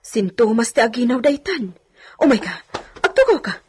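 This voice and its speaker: A woman's voice: a little high, big pitch swings, moderate pace, breathy edge. A woman playing a fretful, caring housemaid in an audio drama, fussing and exclaiming over her young mistress. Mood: worried.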